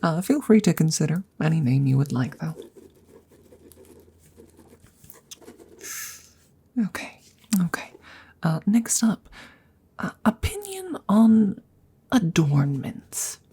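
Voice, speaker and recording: A woman plays a courteous, awkward she-dragon in an intimate ASMR roleplay. Her voice is lowish and mellow with a gravelly creak, often thinning to a whisper. She picks her words haltingly and formally, shy and smitten. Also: very animated, exaggerated voice. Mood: courteous, hesitant. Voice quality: husky, breathy, often slipping into whispers. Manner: hesitant, halting, formal and polite.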